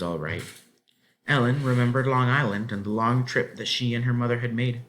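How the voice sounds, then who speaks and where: A man narrating a children's novel aloud in an expressive storybook style. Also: fairly low pitch.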